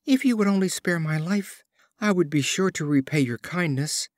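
A man's voice: a little high, animated, moderate pace, no echo, clean studio sound, pitched above the performer's own voice, lightly acted. A man voicing a small, earnest, grateful mouse in a storybook fable. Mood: pleading. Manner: piteous, earnest entreaty.

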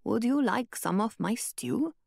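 A woman voicing a calm, cryptic old woman who speaks in a low, hushed, measured way. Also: creaky, no echo, studio quality, exaggerated voice.

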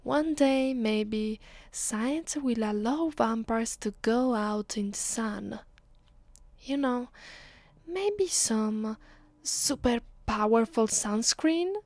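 A woman with an Italian accent playing an affectionate, playful vampire girlfriend in an ASMR roleplay, speaking softly, intimately and teasingly. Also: a little high, drawn-out words, no echo. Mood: tender, wistful. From Italy. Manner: soft, tender, teasing, intimate. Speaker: voice actress.

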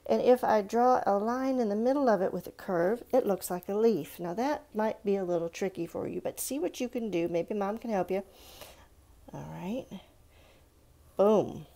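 Casual children's art teacher, female, gravelly edge, soft mellow tone, patient and encouraging. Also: mid-range voice, normal speed. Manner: patient, encouraging, talking along while drawing. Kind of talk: casual step-by-step drawing tutorial for children. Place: indoors at a countertop.

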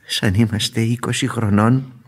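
Husky, fussy older husband. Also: male voice, on the low side, fairly even intonation. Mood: wistful. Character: fussy older husband, rose lover, long-suffering and indignant. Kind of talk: vintage Greek radio theatre acting.

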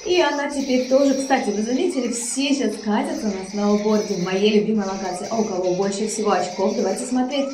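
A woman's voice: medium pitch, quick pace, few pauses, noisy background. A young woman hosting a gaming channel for children, chatting through the play and addressing young viewers with affectionate pet words. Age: young adult. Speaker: host of a kids' gaming channel. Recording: roomy echo under background music. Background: music.

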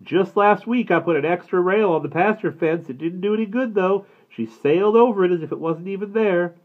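A man voicing a grumpy, frustrated farmer father in a read-aloud story, speaking gruffly. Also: high-pitched, a little fast, voice pushed up. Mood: exasperated. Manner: gruff, disgusted. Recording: tinny phone-like sound.

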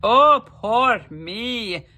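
A woman's voice: high-pitched, wildly swooping pitch, measured pace, fairly bright tone, breathy edge, voice pushed up, heavily acted. A woman voicing a lazy, self-pitying Irish peasant man who whines, with an Irish accent. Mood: wailing.

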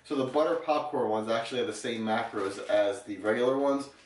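Young man, fitness vlogger, casual explaining tone, middle pitch, faint background hiss. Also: brisk, poor audio. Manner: relaxed, explanatory, filler-heavy. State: getting sick. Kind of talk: casual vlog talk to camera.

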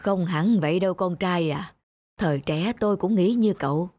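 A woman's voice: lowish voice, moderate pace, a little mellow, no room sound, natural voice. A woman voicing a weary, kindly, regretful old man giving reflective advice in a cartoon. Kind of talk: moral-tale cartoon dubbing.

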